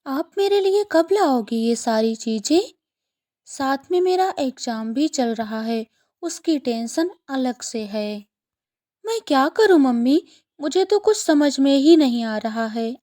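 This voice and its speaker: A high-voiced, eager little bird daughter in a children's cartoon, acted by a woman. She asks in a childlike, pleading way and speaks at an unhurried pace. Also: expressive, mellow. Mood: worried, pleading.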